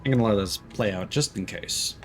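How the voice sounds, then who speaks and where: A man with a low voice streams a game. He comments casually and thinks aloud as he goes, with game music clearly playing behind him. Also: brisk, fairly bright tone. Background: video game music.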